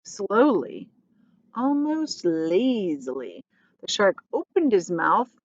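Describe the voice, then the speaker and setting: A woman's voice: medium pitch, very animated, slow pace, no echo, dull audio. A woman reading a children's book aloud as a teacher would, expressively, adding explanations for her listeners.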